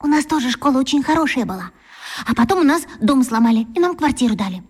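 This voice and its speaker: A woman's voice: high-pitched, lively intonation, fast, a little mellow, slightly rough. An actress voicing a chatty, eager new girl of about eleven who talks nonstop, in a radio play.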